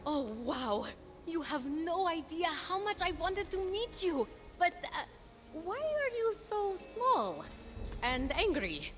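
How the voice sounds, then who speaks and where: A woman playing an eager, plucky young mortal hero in a video game, excitable and earnest, with music behind her. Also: extremely high pitch, slow, heavily acted. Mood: starstruck. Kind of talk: animated video game voice acting.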